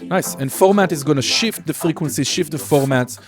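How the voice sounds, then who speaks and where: A man giving an informal, explanatory software walkthrough as a music production instructor. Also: a little high, a little fast. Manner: casual, explanatory. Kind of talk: screen-recorded software tutorial, informal walkthrough.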